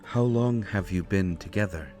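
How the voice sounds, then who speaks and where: A man voicing an ancient, courteous vampire who speaks with formal, measured, deliberate phrasing in an audio roleplay. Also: low-pitched, a little mellow, natural voice. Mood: knowing, intrigued. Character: ancient, courteous, knowing male vampire speaking softly and gravely. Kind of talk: scripted immersive audio roleplay. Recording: roomy echo, faint music behind. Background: faint music.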